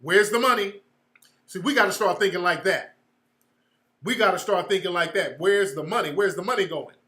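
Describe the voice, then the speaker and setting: A man's voice: sits high, moderate pace, sharp tone, echoey room. A man telling stories in casual commentary, emphatic and full of profanity.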